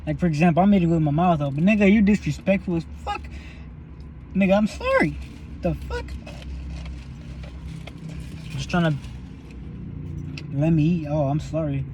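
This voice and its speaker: A young man with a somewhat high voice rambles on in a loose, profane way between bites. He talks to camera for a casual eating vlog from a parked car, with faint street and car sounds behind him. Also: big pitch swings, moderate pace, long pauses, noisy background. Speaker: vlogger.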